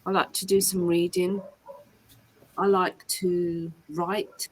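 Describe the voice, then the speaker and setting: A woman's voice: fairly low pitch, flat delivery, takes it slowly, husky, low-quality recording. A woman from England, tutoring a learner one to one and repeating and rephrasing her questions patiently. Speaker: English tutor. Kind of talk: online one-to-one English lesson.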